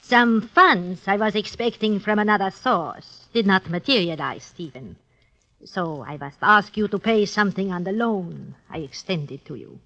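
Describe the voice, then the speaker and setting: An actress playing a cold, mocking blackmailing duchess in a 1950s radio drama, speaking in measured, formal phrases. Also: female voice, mid-range voice, expressive, sharp tone, exaggerated voice. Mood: cool, imperious. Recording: muffled 1950s radio recording.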